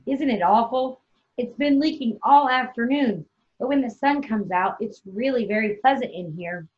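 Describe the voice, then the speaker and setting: A woman acts out a fragile, hopeful young wife in a stage play reading. Her voice is fairly high and eager with a fretful edge. The recording is somewhat dull and lo-fi. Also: moderate pace, close to an everyday voice. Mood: tearful, hopeful. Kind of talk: play table read. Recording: dull lo-fi online call sound.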